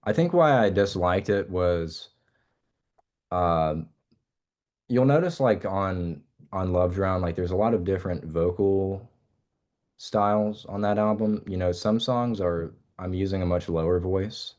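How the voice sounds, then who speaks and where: A man's voice: sits low, a little slow, darkish tone. A young man, a musician guest, chatting casually in an interview and rambling with many fillers and restarts.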